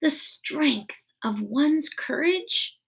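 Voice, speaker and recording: Woman reading as a slow, solemn male school principal. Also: high voice, lively intonation, gravelly, voice pushed up, exaggerated voice, poor audio. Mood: earnest, solemn. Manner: slow, weighty, ceremonial. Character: solemn, moved male school principal giving an award speech.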